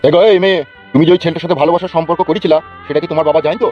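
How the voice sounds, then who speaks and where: Dull, lo-fi cartoon audio with music carries a man acting as an arrogant village politician. He talks very quickly and bluntly, with a short temper and a West Bengal accent. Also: on the high side, fairly even intonation, close to an everyday voice. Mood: stern. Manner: self-important, scolding, blunt. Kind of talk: comic cartoon dialogue.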